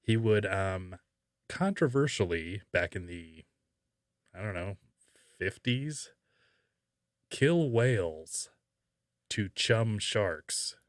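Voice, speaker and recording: Male podcast host, low register, casual and halting, dry close sound. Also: expressive, no room sound. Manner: halting, thinking aloud. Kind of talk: casual conversational podcast talk.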